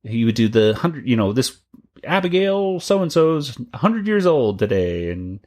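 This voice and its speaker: A man's voice: medium pitch, big pitch swings, a little slow, darkish tone. A man co-hosting a podcast about a cartoon, talking casually and rambling a bit with restarts and laughs. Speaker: podcast co-host. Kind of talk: casual chat.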